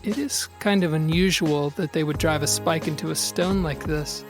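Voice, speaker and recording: A man's voice: on the high side, moderate pace, over music, lightly acted. A man acting a reassuring, reflective and sentimental brother in a naturalistic audio drama. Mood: reassuring, puzzled.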